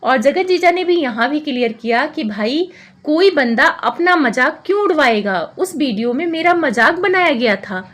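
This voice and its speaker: A woman's voice: high-pitched, lively intonation, normal speed, a bit bright. A woman vlogger giving informal, opinionated commentary on other people's disputes, in an accusatory and repetitive way. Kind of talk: informal gossip commentary, recapping and judging a dispute.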